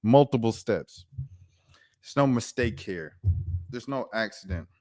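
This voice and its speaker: A man's voice: medium pitch, lively intonation, unhurried, dark tone, no room sound, clean studio sound. An American man giving a casual, opinionated sports rant in a slangy, incredulous, emphatic way, repeating himself for effect. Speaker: sports commentator, show host.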